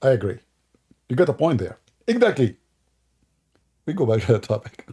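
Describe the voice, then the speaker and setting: A man's voice: medium pitch, big pitch swings, moderate pace, warm tone, breathy edge. A man whose first language is Arabic, hosting sarcastic religious commentary in a mocking, theatrical way. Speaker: host.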